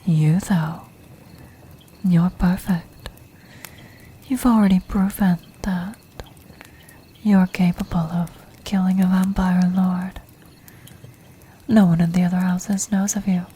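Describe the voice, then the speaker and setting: An ancient, scheming vampire countess, played by a woman speaks in a slow, mellow half-whisper. Her phrasing is formal, poised and regal, in the style of fantasy ASMR roleplay, with steady noise behind her. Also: on the low side. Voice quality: soft, breathy, whispery.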